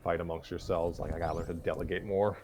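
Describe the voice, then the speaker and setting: A man's voice: deep voice, fairly even intonation, fast talker. A man hosting a live story reading, switching between scripted narration and casual chat, sometimes stumbling over his lines.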